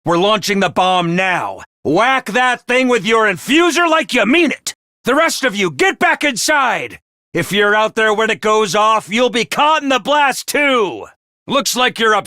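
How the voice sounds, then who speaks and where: A man's voice: high voice, very animated, a little slow, sharp tone, dry recording, heavily acted. A man voicing a chirpy, scheming male mascot creature who makes cheery announcements and turns snide and callous. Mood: announcing. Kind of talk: video game voice lines. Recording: dry studio recording.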